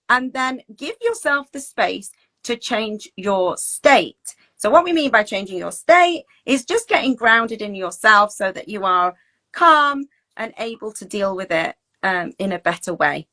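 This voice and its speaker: A woman's voice: a little high, sharp tone, poor audio. A woman coach talking through advice in a chatty, conversational, reassuring way, acting out example phrases as she goes. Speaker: teacher well-being coach and host. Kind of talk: informal advice talk, conversational.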